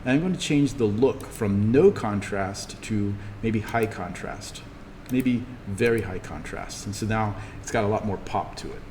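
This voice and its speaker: A man giving a casual, easygoing software tutorial as an instructor, thinking aloud as he explains each step. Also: lowish voice, measured pace. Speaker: instructor teaching a 3D animation class. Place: indoors, echoing classroom. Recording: reverberant room with background noise.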